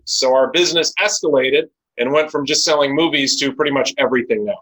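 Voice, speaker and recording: A man's voice: a little high, a bit bright, poor audio. An American man in his forties, from Chicago and Los Angeles, telling his story conversationally as an interview guest and business owner. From United States (Chicago and Los Angeles). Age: 40s.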